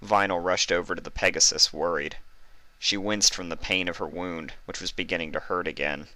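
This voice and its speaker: Male narrator, low pitch, bright crisp edge, scripted story reading, dry recording. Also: steady tone, a little fast, no room sound. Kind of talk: scripted fan fiction narration.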